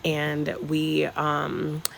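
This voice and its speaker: A woman's voice: deep voice, monotone, drawn-out words, gravelly, slight background noise. A young woman from North Carolina with a Southern accent, chatting casually with live-stream viewers from an outdoor hotel patio on her phone. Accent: Southern American. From North Carolina, USA. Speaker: travel vlogger live-streaming.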